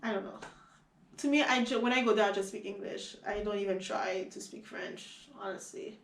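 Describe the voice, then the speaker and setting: A young woman from Haiti with a Haitian accent, a Creole speaker, chatting as an interview guest, animated and full of fillers and restarts. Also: mid-range voice, moderate pace, reverberant, distant mic, rough recording. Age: young adult. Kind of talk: casual conversation.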